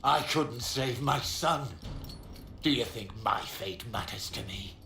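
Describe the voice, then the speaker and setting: An actor playing a proud, defeated former mage lord in a video game, grave and bitter. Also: male voice, mid-range voice, slow, sharp tone, soft background music, heavily acted. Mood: bitter, defiant. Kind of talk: video game character acting. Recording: echoing hall, clear background noise.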